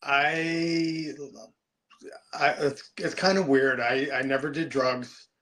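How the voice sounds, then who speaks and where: A man in his late fifties answering interview questions in a relaxed, chatty way, often pausing with ums and restarting his sentences. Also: fairly high pitch. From United States. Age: 50s. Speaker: interview guest. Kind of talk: casual interview chat.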